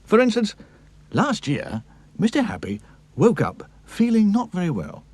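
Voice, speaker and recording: A man narrating a children's cartoon story in an expressive storybook style. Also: sits high, measured pace, husky, dry recording.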